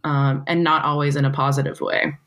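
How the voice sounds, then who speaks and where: A woman's voice: low-pitched, level tone, a little fast, fairly bright tone. A young woman from Brazil who speaks with an American accent, talking reflectively as a poet guest, with many "like" and "um" fillers. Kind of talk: casual interview conversation.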